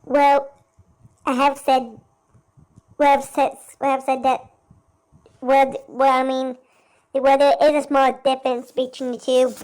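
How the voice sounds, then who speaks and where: A woman who stutters, talking in a halting, hesitant way with many restarts. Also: sits high, level tone, darkish tone, clear, resonant tone. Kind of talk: casual talk in her own videos.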